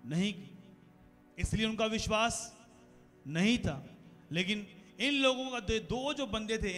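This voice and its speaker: A man in his thirties preaching a sermon to a congregation on a handheld mic, asking the crowd questions and growing louder toward the close. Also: high voice. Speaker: pastor. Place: large decorated church hall, indoors. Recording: long hall reverb with faint music.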